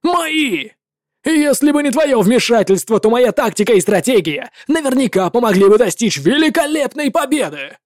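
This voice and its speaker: Woman doing a proud male army commander, high-set voice, barking orders, dry close sound. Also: very animated, normal speed, no echo. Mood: arrogant. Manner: barking, domineering, shouting orders. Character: arrogant hot-tempered male military legate.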